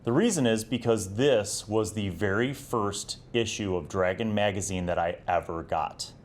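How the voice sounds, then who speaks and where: Low-pitched American man, casual and nostalgic. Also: moderate pace. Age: 40s. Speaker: host of a retro gaming video series. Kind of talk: casual nostalgic review vlog.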